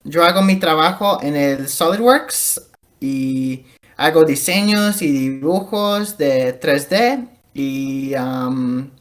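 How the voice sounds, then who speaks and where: A man from the United States speaking as a podcast guest, haltingly, searching for words with English-like "um" fillers. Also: on the high side, animated. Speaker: podcast guest, mechanical engineer.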